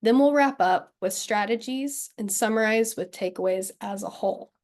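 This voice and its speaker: A woman's voice: medium pitch, moderate pace, darkish tone. Woman with a cold, slightly nasal. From Texas. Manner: upbeat and friendly, clear and organized. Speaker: webinar presenter. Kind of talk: scripted webinar presentation.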